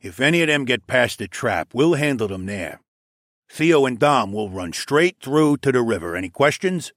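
A man's voice: medium pitch, expressive, moderate pace, a bit bright, no echo, clean studio sound, lightly acted. A man voicing a practical, commanding male pack leader in a fantasy audiobook.

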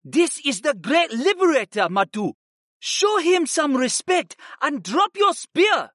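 A man voicing a deferential city guard who speaks slowly and formally, in an audiobook. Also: very high voice, sing-song, fairly bright tone, dry recording, studio quality, pitched above the performer's own voice, heavily acted. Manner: slow, formal and courteous.

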